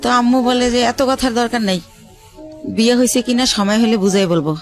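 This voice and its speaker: A woman from Bangladesh telling her life story as a radio show guest, hesitant, with many pauses and fillers. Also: a little high, faint music behind.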